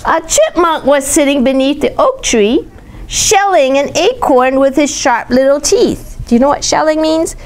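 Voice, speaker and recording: A woman leads a read-aloud for small children in a fairly high, highly expressive voice. She points things out, asks questions and explains words in simple terms. There is faint background noise on the recording. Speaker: storytime reader teaching young children.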